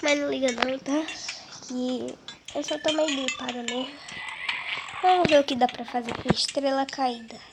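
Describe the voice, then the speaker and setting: A woman's voice: sits high, fairly even intonation, normal speed, long pauses, very noisy. A young girl with a Brazilian Portuguese accent and a cold, giving casual commentary over her own gameplay. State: stuffy with a cold. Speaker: gaming video host. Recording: loud music over the voice. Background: loud music.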